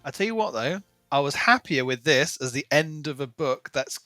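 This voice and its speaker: A man's voice: a little high, animated, moderate pace, bright, forceful tone, no echo, soft background music. A man co-hosting a podcast about books, chatting and reading out plot recaps. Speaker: podcast co-host. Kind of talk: casual book chat and reading a written plot recap.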